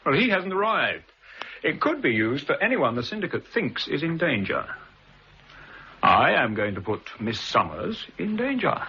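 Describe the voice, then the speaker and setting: An actor playing a calm, methodical British intelligence officer in a 1960s radio drama, with a crisp, measured delivery. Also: male voice, on the high side, heavily acted. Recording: dull old broadcast recording with faint hiss.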